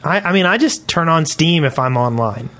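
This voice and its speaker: A man's voice: a little high, animated, moderate pace, bright, forceful tone. A man co-hosting a casual gaming podcast, chatting informally. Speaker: podcast co-host. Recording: music playing behind the voice. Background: music.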